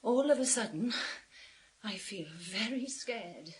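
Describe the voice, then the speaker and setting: An actress playing a nervous, easily embarrassed young British woman in a radio thriller, speaking in a halting, self-conscious way. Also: mid-range voice, lively intonation. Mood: scared. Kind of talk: naturalistic radio thriller acting.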